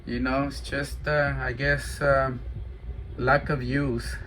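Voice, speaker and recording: A man in casual radio chat and demonstrating equipment, talking in a halting, hesitant way. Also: mid-range voice, level tone, noisy background. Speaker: amateur CB radio operator demonstrating a radio for sale.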